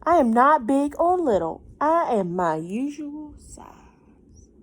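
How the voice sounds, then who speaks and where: A woman voicing a small, polite, earnest storybook boy, speaking out in a deliberate, declarative way. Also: high-pitched, very animated, voice pushed up, exaggerated voice.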